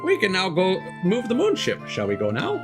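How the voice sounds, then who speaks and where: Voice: medium pitch, wildly swooping pitch, brisk. A game streamer giving casual, playful commentary and joking along with a co-host. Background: game music.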